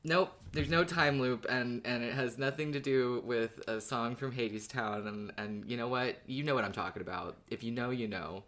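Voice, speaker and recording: A man co-hosting a podcast, chatting casually in a halting, playfully evasive way. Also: medium pitch, fairly bright tone. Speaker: podcast co-host. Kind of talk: casual chat.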